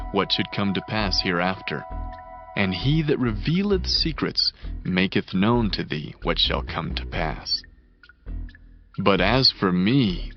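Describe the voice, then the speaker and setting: A reverent Hebrew prophet, declamatory, highly animated intonation, audiobook drama, music underneath. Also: male voice. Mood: solemn, humble. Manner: declamatory, reverent, emphatic oratory. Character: devout, solemn young Hebrew prophet addressing a king. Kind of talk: dramatized scripture audiobook acting. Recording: dull band-limited audio under prominent music.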